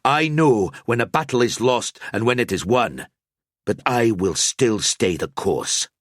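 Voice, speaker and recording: A man's voice: medium pitch, lively intonation, unhurried, no room sound, studio quality, pitched above the performer's own voice. A man voicing a stubborn, brave male warrior who pleads and demands with urgent force. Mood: defiant.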